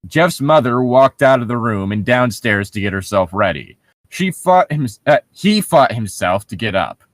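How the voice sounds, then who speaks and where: A man reads a story aloud and breaks off for sarcastic jabs and swearing. His mid-pitched delivery is highly animated, rising and dropping freely, and the sound is dry and close. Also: normal speed, no room sound. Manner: sarcastic, profane. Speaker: co-host reading a story aloud for mockery. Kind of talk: story reading with joking commentary.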